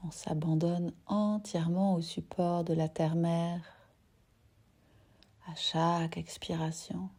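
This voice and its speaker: A woman teaching yoga nidra, guiding a relaxation gently and unhurriedly in short phrases with soft, breathy endings. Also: on the low side, flat delivery, slow. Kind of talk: guided relaxation meditation.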